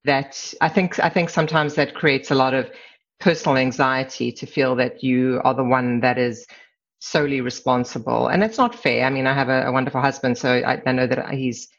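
A middle-aged woman with a South African accent, reflecting candidly on personal matters as an interview guest in a measured, conversational way. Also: extremely low pitch, fairly even intonation. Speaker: podcast guest, lawyer. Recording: slightly dull, lo-fi sound.

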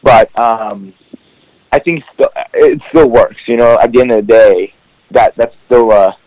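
A man's voice: mid-range voice, sing-song, measured pace, gravelly, a little mellow, dry recording, lo-fi sound. A man whose first language is Farsi, a professional wrestler talking as a guest in a casual podcast interview, rambling with many fillers.

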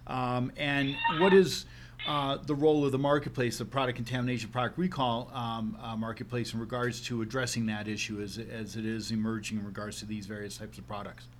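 A man's voice: medium pitch, little pitch movement, brisk, slight background noise. Man host asking rambling questions. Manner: rambling, filler-heavy questions. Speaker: interview host. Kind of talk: podcast interview.

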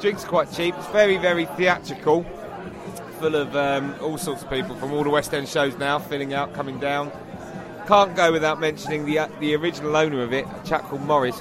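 A man's voice: on the high side, fast. A British man co-hosting a podcast, chatting casually as he reviews bars on location. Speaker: podcast co-host. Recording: busy bar chatter, on location. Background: bar crowd chatter.

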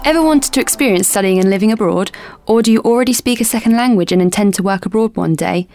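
A woman's voice: mid-range voice, fast talker, darkish tone, faint music behind. A woman hosting a university podcast, reading a scripted welcome and then chatting with a guest in an upbeat, encouraging way. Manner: upbeat and encouraging, reacting with enthusiasm. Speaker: podcast host. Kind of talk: scripted introduction then casual interview.

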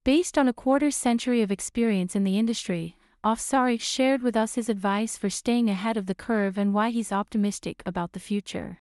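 A woman hosting a podcast, reading a scripted introduction and asking interview questions in a clear, even way. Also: mid-range voice, fairly quick, few pauses, no room sound, studio quality. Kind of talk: scripted narration.

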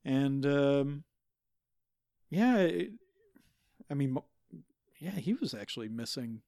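A man's voice: medium pitch, very animated, vocal fry, warm tone, dry recording, full character voice. A man doing a flustered guest expert who stumbles through every sentence, restarting and searching for words. The voice is recorded close and dry, with no room sound. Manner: halting, full of fillers and restarts. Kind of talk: improvised comedy podcast.

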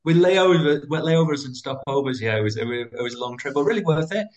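British male guest, fast and animated, school-founder type, conversational. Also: fairly high pitch, highs cut off. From United Kingdom. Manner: fast, animated, many restarts. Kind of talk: interview conversation.

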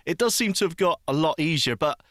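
A man's voice: fairly high pitch, expressive, fairly quick, a bit bright, no echo. Curious male podcast co-host, dry sound. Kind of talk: casual chat.

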